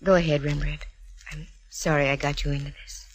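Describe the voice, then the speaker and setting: A woman's voice: deep voice, flat delivery, fairly quick, noisy background, natural voice. An actress playing a wisecracking, cool-headed female private eye in a dry, sardonic 1940s radio manner. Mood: resigned. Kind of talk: 1940s radio acting, first-person narration and dialogue. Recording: vintage radio drama with music.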